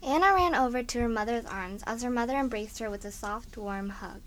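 High-voiced woman narrating a children's story. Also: fairly quick, a bit bright. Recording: faint background noise.

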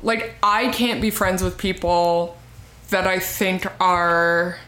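A young woman co-hosting a podcast, chatting casually and filling her talk with little fillers. Her voice sits low with a steady vocal fry. The sound is roomy, with a clear echo. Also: slow pace, noisy background. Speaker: podcast co-host. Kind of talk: casual chat.

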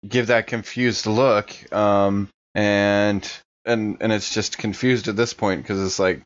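A man playing a tabletop role-playing game, improvising casually and haltingly. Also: fairly low pitch, steady tone, dull audio. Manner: hesitant, halting. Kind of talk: improvised casual in-character play.

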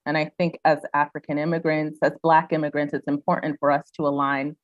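A woman's voice: low-pitched, little pitch movement, brisk, no echo, lo-fi sound. A young woman raised in the United States, speaking reflectively as a guest in a casual interview, with many "um"s and "you know"s.

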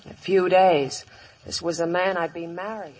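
A woman's voice: lowish voice, steady tone, moderate pace, darkish tone, slight background noise, lightly acted, low-quality recording. A woman playing a troubled but spirited frontier sweetheart in a 1950s radio Western, plain-spoken. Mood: wistful narration. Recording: old 1950s radio broadcast.

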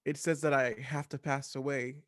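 A man playing a bewildered, resigned husband in an improvised comedy scene, halting and plaintively deadpan. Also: mid-range voice, no room sound, studio quality, close to an everyday voice. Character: bewildered, resigned husband being pushed to die.

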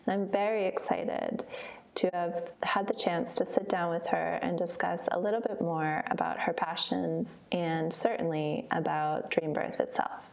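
A woman hosting an interview, speaking gently and earnestly, opening with blessing-like wishes. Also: fairly low pitch, fairly even intonation, unhurried, creaky. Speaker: interviewer, host.

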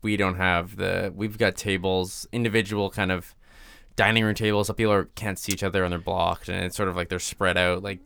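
A man co-hosting a comedy podcast, making casual, deadpan banter as if he were entirely serious. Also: deep voice, fairly quick, creaky, smooth. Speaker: podcast co-host.

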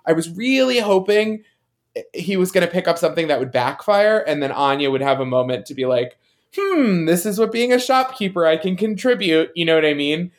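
A man's voice: high-pitched, lively intonation, brisk. A man co-hosting a casual podcast chat in a playful, teasing manner. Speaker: podcast co-host.

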